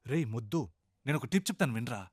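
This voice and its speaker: A man's voice: medium pitch, animated, very fast, breathy edge, no room sound. A man acting a cheeky, sleazy young buddy in comic film dialogue. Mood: sly, suggestive.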